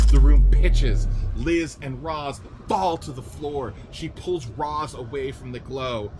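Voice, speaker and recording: A man's voice: medium pitch, noisy background. A man narrating the action of a scripted radio play in a dramatic manner. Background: music.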